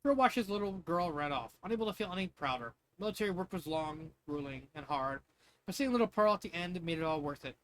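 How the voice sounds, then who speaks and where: Higher-voiced man reading fanfiction, noisy recording. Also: lively intonation, brisk, a bit bright. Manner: loose, jokey, breaks off to riff. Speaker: fanfiction reader. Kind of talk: reading a story aloud with casual asides.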